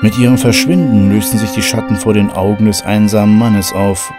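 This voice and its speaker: A man's voice: on the low side, fairly even intonation, a little fast, music in the background, natural voice. A man narrating an eerie audio drama as its scripted storyteller. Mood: ominous.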